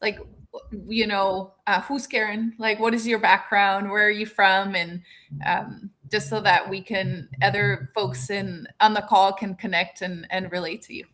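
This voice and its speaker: A woman hosts a casual community interview over a video call. She speaks in halting, stop-start phrases and keeps restarting her sentences as she goes. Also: medium pitch, flat delivery, fairly bright tone. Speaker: host.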